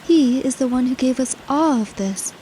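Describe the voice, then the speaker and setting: Casting: woman to play blissful, devoted ferry captain in radio drama, slightly high-pitched, faint ambience behind. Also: normal speed, dark tone, slight background noise, lightly acted, rough recording. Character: serene, devoted cult-believer ferry captain.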